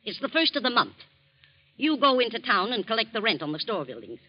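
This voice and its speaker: An actress playing a bossy, domineering wealthy wife in 1940s radio acting, sharp and contemptuous. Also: female voice, on the high side, big pitch swings, a little fast. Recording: dull old radio broadcast recording.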